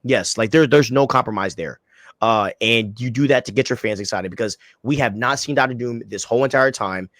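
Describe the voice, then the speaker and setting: A man's voice: medium pitch, a little fast, fairly bright tone, dry recording. A young man with a mid-range voice chats as a podcast co-host, rambling through slang and fillers before landing his point with emphasis. The sound is dry and close. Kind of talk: casual podcast chat.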